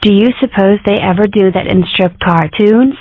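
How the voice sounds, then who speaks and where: A woman's voice: medium pitch, moderate pace, dry recording, lightly acted. A woman voicing a brash, self-important little cartoon girl who rambles and makes grand declarations, in a comic strip dub. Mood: grandly self-assured. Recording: muffled, phone-like narrow audio.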